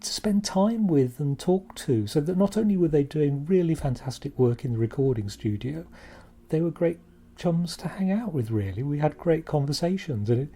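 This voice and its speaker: Soft, husky British man, effusive. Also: on the high side, expressive, normal speed. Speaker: producer. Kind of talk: interview talk.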